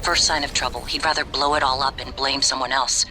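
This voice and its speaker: An actor playing a tired, earnest scientist giving confidential briefings in a video game. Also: male voice, mid-range voice, steady tone, a little fast, bright, forceful tone, a little hiss or hum, low-quality recording. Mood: serious, resolved. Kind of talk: conversational video game dialogue.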